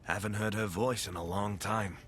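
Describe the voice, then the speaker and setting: An actor playing a wry, wounded wasteland companion in a video game, speaking in a casual, hesitant way. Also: male voice, fairly low pitch, steady tone, normal speed, fairly bright tone, slight background noise, natural voice. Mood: wistful, reflective.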